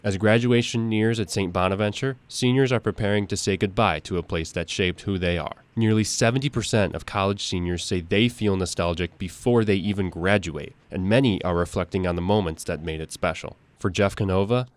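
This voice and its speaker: A man's voice: deep voice, fairly quick, few pauses, dry recording. A young man narrating scripted news packages as a student TV reporter. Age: young adult.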